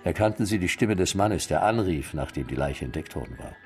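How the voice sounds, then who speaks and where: An actor playing a gruff, sarcastic homicide sergeant who presses witnesses in a radio drama. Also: male voice, sits low, animated, brisk, faint music behind. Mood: questioning. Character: gruff middle-aged male homicide sergeant, impatient. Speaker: police detective sergeant. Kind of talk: German radio play acting.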